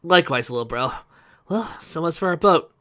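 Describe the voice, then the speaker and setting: A man's voice: on the high side, expressive, moderate pace, bright, forceful tone, voice pushed up. A man voicing a cheeky young hedgehog hero in a breezy, exclaiming way. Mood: relieved, breezy.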